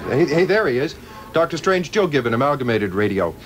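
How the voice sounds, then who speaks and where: An actor playing an eager radio reporter in a 1960s radio drama, questioning briskly and insistently. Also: male voice, mid-range voice, big pitch swings, close to an everyday voice, rough recording. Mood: eager, pressing. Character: eager radio reporter pressing a famous surgeon. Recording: old radio recording, faint background noise.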